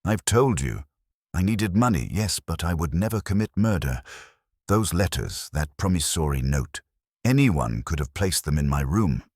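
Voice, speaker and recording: Man reading a nervous, defensive young heir, deep and animated. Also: moderate pace, slightly rough, no echo, clean studio sound, full character voice. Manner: defensive, pleading.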